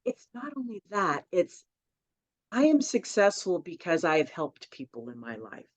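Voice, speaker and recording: A woman author as a podcast guest, telling stories in a lively way with many fillers. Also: deep voice. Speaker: children's book author and guest. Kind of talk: conversational podcast interview. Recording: dull lo-fi audio.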